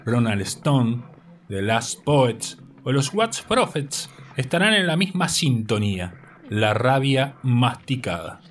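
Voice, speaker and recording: A man's voice: medium pitch, very animated, takes it slowly, fairly bright tone, recorded from a distance, faint music behind. A man reading scripted narration as host of a radio segment. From Uruguay.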